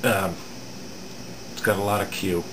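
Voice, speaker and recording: A middle-aged man giving an unscripted hands-on repair demonstration in a halting, matter-of-fact way, recorded at an indoor workbench. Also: sits low. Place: indoor home electronics workbench. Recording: steady room hum, air-conditioning noise.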